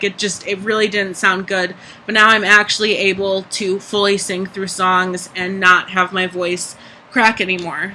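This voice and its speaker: A young adult vlogger with a high speaking voice, giving a candid, chatty personal update full of "like" and "um". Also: bright, forceful tone, a little hiss or hum, low-quality recording. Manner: candid, chatty, frequent "like" and "um" fillers. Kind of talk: casual personal vlog update.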